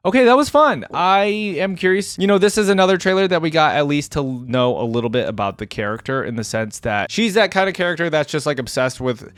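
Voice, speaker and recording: A man's voice: on the high side, expressive, fast talker, few pauses, no echo. A man hosting a reaction video, giving casual, musing, rambling commentary. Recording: trailer music behind the voice.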